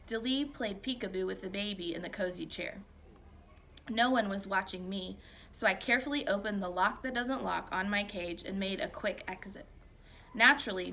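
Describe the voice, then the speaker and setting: A woman reading a children's story aloud like a teacher, with expressive, emphatic narration. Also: mid-range voice, gravelly, a little hiss or hum.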